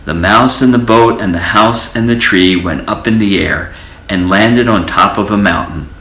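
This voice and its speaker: A man's voice: fairly low pitch, moderate pace, bright, forceful tone, roomy sound, rough recording. An older man reading a children's story aloud, drawing out repeated words for effect. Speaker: storybook reader.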